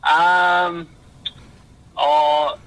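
A man's voice: on the high side, flat delivery, extremely slow, creaky, a bit bright. A young Australian man chatting casually on the phone, polite and hesitant. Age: young adult. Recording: noisy phone call.

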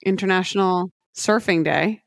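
A woman hosting a true crime podcast in a chatty, upbeat way, her voice hoarse after a weekend of karaoke. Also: fairly low pitch, moderate pace, no echo, studio quality. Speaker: podcast host.

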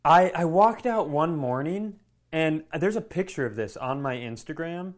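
A man's voice: fairly high pitch, animated, unhurried. A man co-hosting a podcast, telling stories in a casual comedic way, rambling and interrupting himself with profane asides. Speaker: podcast co-host.

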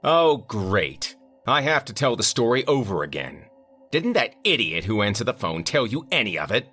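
A man voicing an irate, wealthy male winery owner who blusters and booms his complaints. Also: big pitch swings, measured pace, a bit bright, faint music behind, heavily acted. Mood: angry. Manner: angry, blustering, booming.

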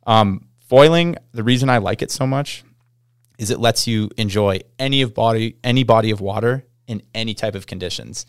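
Podcast guest: Canadian man, lowish clean voice, informal enthusiastic explainer, dry recording. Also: moderate pace, clear, resonant tone, no echo. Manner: surfer slang, informal. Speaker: podcast guest, pro snowboarder. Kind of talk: casual chat, enthusiastic explaining.

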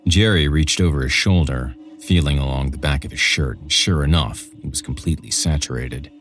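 A man's voice: rumbling low, a little fast, a bit bright. A man reading a scripted horror story as a podcast narrator, with music behind him.

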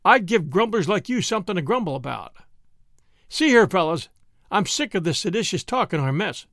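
A man's voice: sits high, big pitch swings, moderate pace, creaky, fairly bright tone, no room sound, studio quality, pitched above the performer's own voice, full character voice. A man voicing an earnest young soldier who speaks firmly to his comrades. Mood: stern. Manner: firm, earnest. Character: earnest young male soldier, steady and loyal.

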